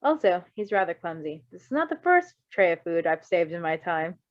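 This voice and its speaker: A woman voicing a calm, curious adult male superhero with composed, precise and formal phrasing in an amateur fan fiction reading. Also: lowish voice, normal speed, lo-fi sound. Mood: calm, easy.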